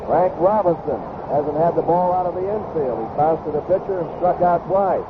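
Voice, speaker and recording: A man's voice: on the high side, normal speed. A man calling baseball play-by-play on live radio in terse, clipped phrases. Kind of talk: live radio sports commentary. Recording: noisy phone-like old radio broadcast.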